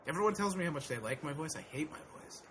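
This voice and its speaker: Guest co-host, male, 30s; a bright, sharp voice and a loud laugh. Irreverent podcast riffing. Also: a little high, expressive, fast, slight background noise. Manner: crude, mocking jokes with a loud laugh. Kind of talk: casual podcast banter.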